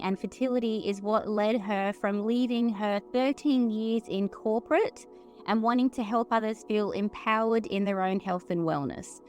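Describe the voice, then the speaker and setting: An Australian woman hosting a podcast interview in a bubbly, chatty way. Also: on the high side. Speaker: podcast host. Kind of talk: casual interview chat.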